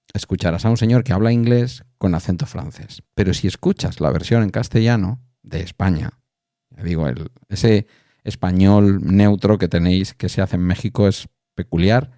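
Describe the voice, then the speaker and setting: Deep, mellow man chatting. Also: normal speed, dry recording, clean studio sound. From Spain. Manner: rambling, thinking aloud with asides. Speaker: podcast host. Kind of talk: casual chat.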